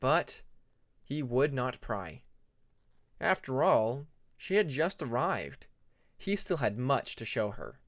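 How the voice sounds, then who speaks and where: A man reading a fantasy story aloud as scripted narration, in a measured storybook manner. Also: medium pitch, sing-song, fairly bright tone. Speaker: fan fiction audiobook narrator.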